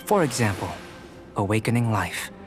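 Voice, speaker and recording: An actor playing a calm, detached young researcher in a video game, speaking in a measured, formal, analytical way. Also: male voice, on the low side, lightly acted. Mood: composed, persuasive. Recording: background music under the voice.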